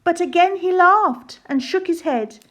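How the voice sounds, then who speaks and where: Woman reading a kids rhyming book, high voice, nice and slow, kinda sing-song. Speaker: storybook narrator. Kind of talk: children's rhyming story read aloud.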